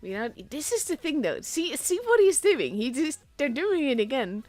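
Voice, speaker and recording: A woman's voice: high voice, normal speed. Woman, Dutch accent, young adult, esports caster, chatty, self-deprecating, faint background music. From Netherlands. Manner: chatty, self-deprecating, excitable at big plays. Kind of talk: solo game commentary.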